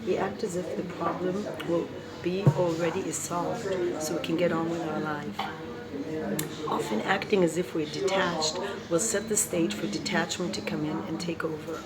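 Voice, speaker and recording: A woman with a loud voice reading and talking casually about recovery, laughing between points. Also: lowish voice, fairly even intonation, moderate pace, over a noisy crowd. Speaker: recovery vlogger. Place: coffee shop. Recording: loud music in a coffee shop.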